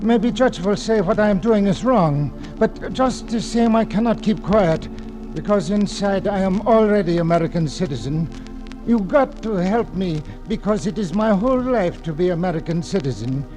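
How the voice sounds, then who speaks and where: An actor playing an earnest middle-aged immigrant janitor who speaks broken English, in 1940s radio acting style. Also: male voice, high voice, lively intonation, normal speed, over music, rough recording. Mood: pleading. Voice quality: broken English, humble and eager.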